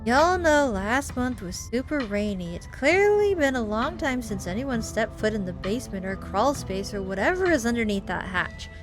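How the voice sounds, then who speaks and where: A woman reading a visual novel aloud as a gaming host, in an expressive, theatrical way. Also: a little high, normal speed, hardly any pauses. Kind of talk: playthrough reading, narrating and voicing visual novel dialogue with reactions. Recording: music behind the voice. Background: game music.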